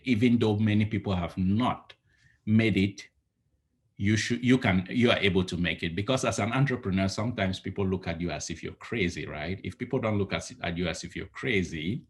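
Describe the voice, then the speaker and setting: Deep-voiced man giving advice on a video call. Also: moderate pace. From Cameroon. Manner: earnest, advice-giving, restarts often. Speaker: entrepreneur guest. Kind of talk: casual video call interview. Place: home living room, indoors. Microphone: laptop webcam on a video call.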